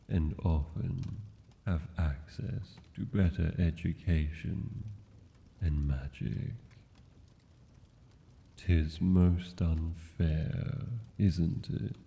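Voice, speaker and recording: A man's voice: deep voice, steady tone, very slow, creaky, warm tone, in a large hall, dull audio, full character voice. A man playing a mad dragon king in an intimate fantasy roleplay, tender and possessive, speaking in formal, courtly endearments. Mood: wry, indulgent.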